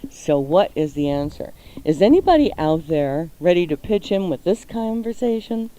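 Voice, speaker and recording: Animated American female radio co-host. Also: fairly low pitch, normal speed, rough recording. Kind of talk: casual live radio chat with listener prompts.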